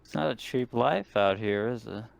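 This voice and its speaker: A man's voice: medium pitch, moderate pace, creaky, highs cut off. A man playing an online tabletop game, speaking in casual conversation. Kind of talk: casual chat. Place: online voice call.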